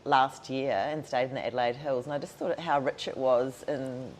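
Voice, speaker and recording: A woman with a New Zealand accent hosting an interview, affirming her guest warmly and restarting her questions as she goes. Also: rumbling low, normal speed, a little hiss or hum. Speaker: interviewer, podcast host. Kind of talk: friendly conversational interview.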